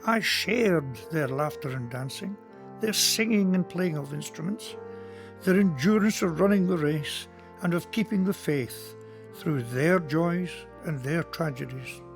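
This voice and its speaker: An actor with a Scottish accent playing a kindly, earnest Presbyterian minister in a community radio play, speaking in a measured, sermon-like way. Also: male voice, on the high side, soft background music. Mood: nostalgic. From Scotland.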